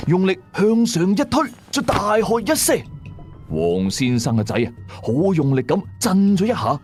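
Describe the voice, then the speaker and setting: 一個香港粵語口音嘅男人，用第一身、口語化又戲劇性嘅方式講廣播鬼古。. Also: male voice, medium pitch, darkish tone. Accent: Hong Kong Cantonese. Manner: dramatic, colloquial. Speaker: radio drama narrator. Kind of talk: first-person ghost story narration.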